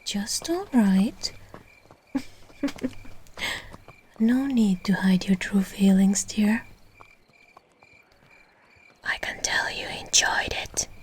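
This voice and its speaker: A woman playing a gentle, doting aristocratic female vampire in an ASMR roleplay, whispering softly in a coaxing, genteel manner. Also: fairly high pitch, slight background noise. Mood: teasing, fond.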